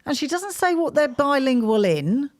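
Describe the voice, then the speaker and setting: A woman's voice: sits high, big pitch swings, moderate pace. A middle-aged woman, the host's mother, chatting on a podcast, easily amused and fond of old-fashioned sayings. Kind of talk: casual podcast chat.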